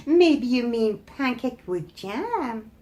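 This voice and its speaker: Woman acting a wicked old witch, slow and mocking. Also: a little high, animated, warm tone, pitched above the performer's own voice. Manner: mocking, slow drawn-out coaxing. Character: wicked, gloating old witch, mock-sweet and menacing.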